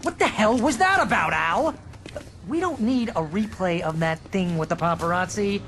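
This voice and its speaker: A man's voice: high-pitched, animated, moderate pace, fairly bright tone, a little hiss or hum. A man playing a brash, fussy, protective agent in a game, pushy and exasperated. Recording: music behind the voice.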